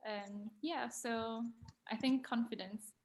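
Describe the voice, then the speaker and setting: A woman's voice: a little high, steady tone, slow, a little hiss or hum. A young woman speaking English as a second language, candid and a little hesitant as she reflects on a panel over a video call. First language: not English. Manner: hesitant, candid, self-deprecating. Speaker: design graduate panelist.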